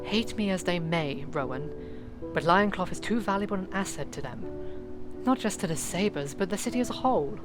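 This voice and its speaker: Low-voiced, shrewd mother, acted by a woman over music. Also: lively intonation, normal speed, close to an everyday voice. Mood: dry, placating. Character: stern, shrewd mother and political advocate, drily affectionate. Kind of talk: fantasy audio drama acting.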